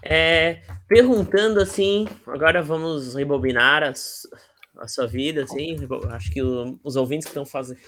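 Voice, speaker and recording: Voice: mid-range voice, normal speed, a bit bright, slight background noise. Podcast host, hesitant, restarts words, casual questions.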